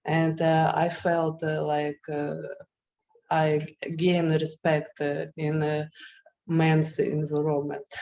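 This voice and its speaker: A woman's voice: low voice, completely flat delivery, slow pace, mellow, muffled recording. A woman with a Ukrainian accent, a non-native English speaker and student panelist, giving a semi-formal personal account in hesitant, careful phrasing. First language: not English. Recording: video call with faint background noise.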